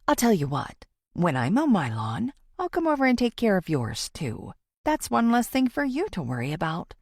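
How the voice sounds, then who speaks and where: A woman's voice: medium pitch, wildly swooping pitch, moderate pace, a little mellow, slightly rough, no echo, clean studio sound, exaggerated voice. A woman voicing a charming, easygoing young man with a playful, teasing manner in an audiobook. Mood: friendly. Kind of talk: audiobook character dialogue.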